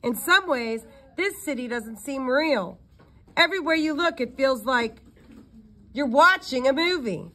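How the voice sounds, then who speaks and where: A woman's voice: high voice, animated, a little slow, sharp tone, voice pushed up, exaggerated voice. A woman voicing an earnest, thoughtful girl spy in a storybook, sincere and slightly cautious. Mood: wondering.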